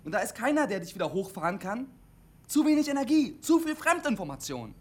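A young CB radio loner in his twenties for a radio drama. His voice sits fairly high for a man. He talks haltingly and stubbornly, repeating himself, awkward and quick to defend himself. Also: very animated. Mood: agitated, ranting. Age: 20s. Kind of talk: German radio drama acting.

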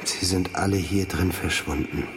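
An actor plays a thoughtful, reserved man of science in a radio play. The voice is extremely deep, with a hesitant, reflective delivery. There is faint noise and clicking in the recording. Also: fairly even intonation, normal speed, lightly acted. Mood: puzzled, thoughtful. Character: thoughtful, reserved male scientist and wealthy employer. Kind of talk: radio drama acting.

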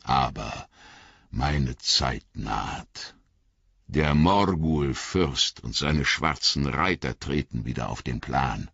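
A man reading an audiobook voices an old wizard who speaks gravely and kindly, slow and measured. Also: extremely low pitch, lively intonation, a bit bright, no room sound, lo-fi sound, full character voice.

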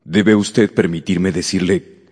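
Deep-voiced, proud, reserved gentleman suitor. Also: fairly even intonation. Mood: tormented, ardent. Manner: formal, halting. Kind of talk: radio drama acting.

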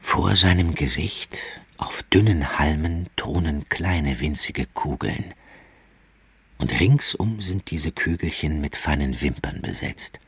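Tiefer, eindringlicher Erzähler eines Hörspiels. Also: male voice, extremely low pitch, takes it slowly, breathy edge, dry recording, heavily acted. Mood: hushed, awed. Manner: intense, incantatory, swinging from hushed to forceful. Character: grave male narrator of a visionary, nightmarish tale. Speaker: narrator. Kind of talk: dramatic literary narration in a radio play.

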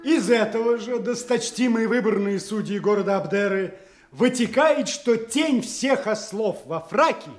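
A pedantic court assessor in an audio play. His voice is extremely high for a man, and he orates formally in long, winding sentences. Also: expressive, measured pace, faint music behind. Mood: earnest, pedantic. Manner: formal, long-winded, oratorical. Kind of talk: theatrical audio drama acting.

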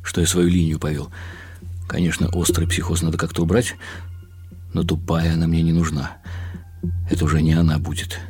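A man reading a first-person literary story as a radio play, in a measured, wry and bitter tone. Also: a bass voice, completely flat delivery, darkish tone, husky, no room sound, soft background music.

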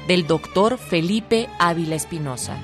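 A woman's voice: on the low side, lively intonation, a little slow, loud music behind the voice. A woman with a Mexican accent reading scripted announcements and narration for a radio production.

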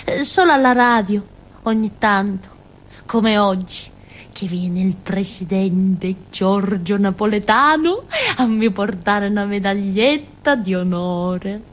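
An actress playing a naive young village simpleton in a stage monologue, with a southern Italian Calabrian accent and an artless, halting way of talking. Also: female voice, medium pitch, close to an everyday voice. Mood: wistful. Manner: childlike, artless, halting phrases. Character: naive young village simpleton woman telling her story. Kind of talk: theatrical monologue in simple colloquial speech.

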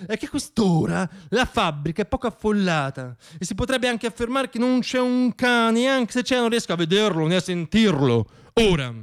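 A man with a distinctly high voice delivers a theatrical monologue for radio. He recites like a stage storyteller, in rhythmic, poetic phrases, declaiming with deliberate dramatic pauses. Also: clean tone. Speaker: solo stage actor and storyteller.

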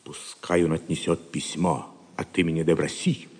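An actor playing a cool, scheming knight who advises a baron in an audio drama, hushed and calculating. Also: male voice, a bass voice, fairly even intonation, normal speed, breathy edge, big-room sound.

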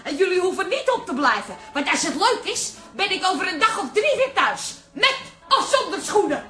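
A woman's voice: extremely high pitch, big pitch swings, moderate pace, pushed and bright, soft background music, full character voice. An actress playing a defiant, exasperated daughter in her forties, emphatic and feisty, in a radio drama. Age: 40s.

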